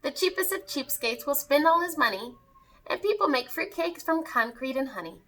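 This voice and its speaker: Lively, bright-voiced woman reading to children. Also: high voice, moderate pace. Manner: animated, theatrical. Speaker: teacher reading a picture book aloud to children. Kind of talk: expressive children's read-aloud of rhyming story.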